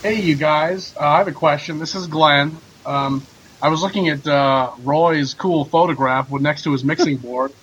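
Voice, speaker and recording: A man's voice: fairly high pitch, moderate pace, fairly bright tone, husky. A man hosting a casual chat, asking questions in a tentative, restarting way. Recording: dull lo-fi connection.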